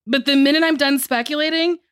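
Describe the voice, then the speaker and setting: A woman co-hosting a podcast in casual chat, slightly tipsy from drinking, frank and swearing freely with animated asides. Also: high-pitched, quick pace, dry recording, clean studio sound. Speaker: podcast co-host.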